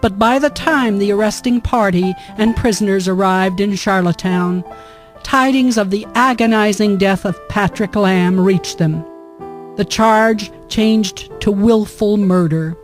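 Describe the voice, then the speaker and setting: A woman's voice: mid-range voice, a little slow, soft background music. A woman reading scripted narration for a historical radio drama.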